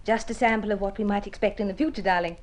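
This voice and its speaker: An actress playing a devoted, excitable young wife in an old radio drama, theatrical and expressive. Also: female voice, medium pitch, quick pace, natural voice. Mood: delighted, proud. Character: devoted young wife, struggling music student, proud and excitable. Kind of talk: mid-century radio drama acting.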